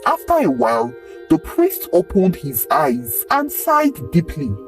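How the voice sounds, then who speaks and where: A narrator telling a scripted folktale in a dramatic, expressive way, acting out the dialogue. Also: mid-range voice, a little slow, recorded from a distance, faint music behind. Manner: expressive, acts out the characters' dialogue.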